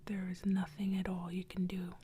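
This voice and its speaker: A woman voicing a menacing dead warrior ghost in a slow, low whisper. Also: completely flat delivery, dark tone, a little hiss or hum, lightly acted.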